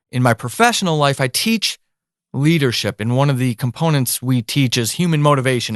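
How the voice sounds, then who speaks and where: A man with an American accent hosting a solo podcast, talking conversationally in an emphatic, explanatory way with deliberate drawn-out stresses. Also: medium pitch, no echo, studio quality. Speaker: podcast host; writer and leadership teacher.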